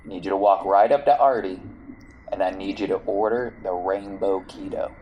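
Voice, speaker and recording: A folksy crime lord played by a man at a gaming table, with a fairly low voice. He drawls his orders in a commanding, exasperated way, like a boss tired of his crew. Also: fairly even intonation, normal speed, lo-fi sound, a little hiss or hum, lightly acted.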